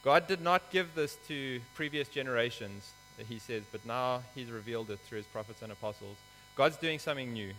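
A man's voice: mid-range voice, expressive, normal speed, sharp tone. A man from Africa, preaching a sermon in a church in an earnest, explanatory way with some hesitant fillers. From South Africa. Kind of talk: sermon with scripture reading. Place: church hall. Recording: reverberant hall with mains hum.

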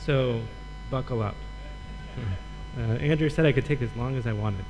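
Relaxed man teaching a sermon. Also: medium pitch, moderate pace, noisy background. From Michigan. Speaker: guest preacher, Old Testament professor.

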